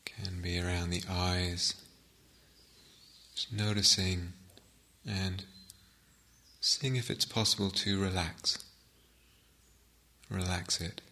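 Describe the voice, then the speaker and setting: A man giving guided meditation instructions as a teacher, gently and unhurriedly, with long pauses. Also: low-pitched, steady tone.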